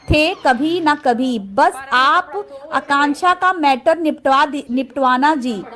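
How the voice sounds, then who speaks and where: A woman's voice: high voice, a bit bright. A woman doing casual vlog commentary, reading messages aloud and addressing viewers directly in an indignant, emphatic manner.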